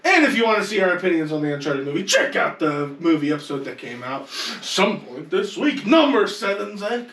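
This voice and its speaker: Man, podcast host, slightly high voice, casual banter, echoey, a bit far from mic. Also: big pitch swings, a little fast, hardly any pauses, fairly bright tone. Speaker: podcast host running the countdown. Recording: roomy echo, distant mic.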